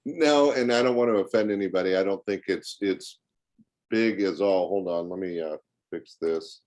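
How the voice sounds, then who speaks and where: A man's voice: low-pitched, normal speed, a little mellow. An older man, a talkative podcast guest and former club owner, speaking conversationally in an interview and telling anecdotes.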